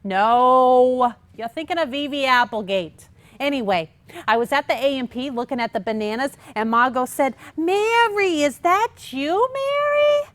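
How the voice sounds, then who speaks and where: An actress playing a pushy, chatty married woman in a comic staged play reading, talking in an excitable, persuasive, emphatic way. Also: high-pitched, measured pace, no room sound. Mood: gleeful storytelling. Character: pushy, scheming, chatty younger married sister Mary, mother of kids.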